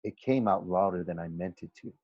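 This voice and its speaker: A man's voice: low-pitched, brisk, a little mellow, no echo, muffled recording. A man reading a storybook aloud expressively like a teacher, now and then stumbling and correcting himself.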